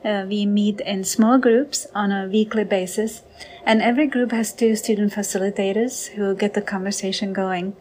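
A woman coordinator whose first language is not English, introducing a conversation program in a friendly, unhurried way with many fillers. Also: medium pitch, fairly even intonation, a little mellow, a little hiss or hum.

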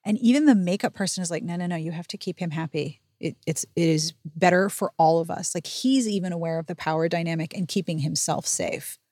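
A woman's voice: on the low side, a little fast, few pauses, a little mellow, no echo. Indignant, sweary woman podcast host. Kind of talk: animated book recap chat.

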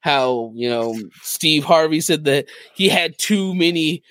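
A man co-hosting a podcast in casual conversation, retelling things people said in an animated way. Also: on the high side, unhurried, dry recording. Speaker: podcast co-host.

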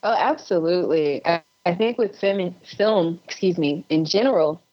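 A woman giving casual advice as a filmmaker guest in an interview, deliberate, with pauses between phrases. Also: lowish voice, muffled recording.

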